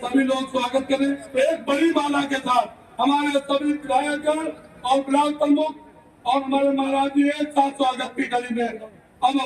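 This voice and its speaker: Very high-pitched male stage announcer, echoey. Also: flat delivery, moderate pace. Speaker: event announcer. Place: public village ceremony, over loudspeakers. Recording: echoey distant PA, faint noise.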